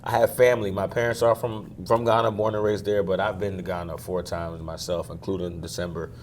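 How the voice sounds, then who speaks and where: A young man from Maryland, a singer, talking casually in an interview, relaxed and quick to laugh. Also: deep voice. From Maryland, USA. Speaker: guest singer.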